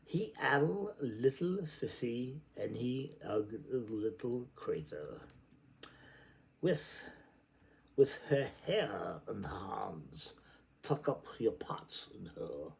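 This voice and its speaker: Low slow guy reading literature out loud, dramatic, stops and starts, repeats bits. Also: very animated, vocal fry. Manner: theatrical, halting, repeats words and lines. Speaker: reader.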